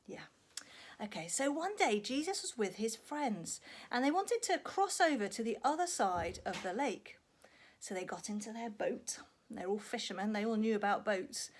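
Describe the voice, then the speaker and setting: A woman telling a story to young children in an animated, expressive way, prompting with questions and acting out sound effects. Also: mid-range voice.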